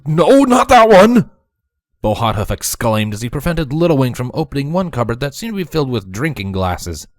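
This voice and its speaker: A man narrating a story from a script in an expressive reading style. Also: mid-range voice, brisk, no echo, studio quality. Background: music.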